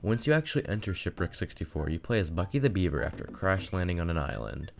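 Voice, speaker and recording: A man's voice: low voice, big pitch swings, fast, gravelly, slight background noise. A man narrating a scripted video essay as a video game reviewer.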